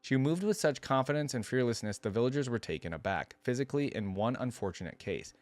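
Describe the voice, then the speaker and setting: A man's voice: mid-range voice, lively intonation, fast talker, no echo. A man hosting a reaction video, reading a story aloud and breaking off into casual, musing commentary with hesitant asides.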